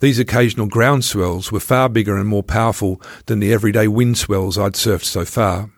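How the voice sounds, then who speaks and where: A man's voice: lowish voice, fairly even intonation, normal speed, no room sound, clean studio sound. A man reading his own travel memoir aloud as scripted first-person narration.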